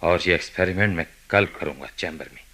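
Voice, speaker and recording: A man acting in a radio play as an intense, authoritative senior psychiatrist, speaking deliberately with probing, dramatic pauses. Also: low-pitched, heavy background noise. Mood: intense, revelatory. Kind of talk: radio play acting, Hindi mixed with English.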